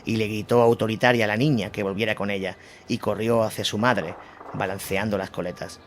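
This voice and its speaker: A man delivering a scripted war chronicle as a narrator, in a measured storytelling manner. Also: on the low side, fairly even intonation, slight background noise. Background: music behind the voice.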